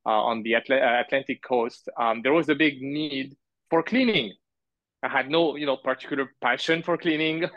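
Dull, dry lo-fi audio carries a man from France speaking as a podcast guest. He tells his story casually at a medium pitch, halting and wandering into asides. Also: a bit bright, no room sound. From grew up in France. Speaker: podcast guest, business owner. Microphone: remote call.

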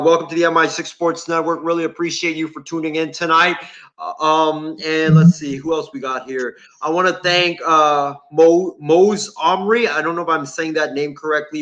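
Bright-voiced man thanking listeners. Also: fairly high pitch. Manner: halting, searching pauses with many fillers. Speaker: sports podcast co-host. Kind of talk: casual sign-off, thanking listeners.

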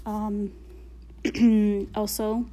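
A woman's voice: medium pitch, little pitch movement, drawn-out words, mellow. A woman with an accent, talking casually and reflectively to listeners, full of fillers and frequent swearing. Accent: non-native accent.

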